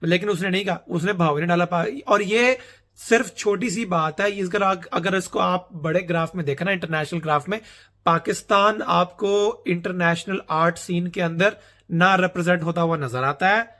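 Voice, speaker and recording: A man's voice: high-pitched, normal speed, bright, forceful tone. A young man from Pakistan with a Pakistani Urdu accent, talking to camera in a casual, earnest, rambling monologue that mixes Urdu and English. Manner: earnest, rambling, self-mocking. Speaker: vlogger.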